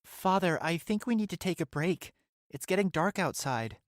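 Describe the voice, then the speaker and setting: A man's voice: high voice, lively intonation, moderate pace, background noise. A young man playing a dutiful, lonely teenage son in a fan audio drama, polite and earnest.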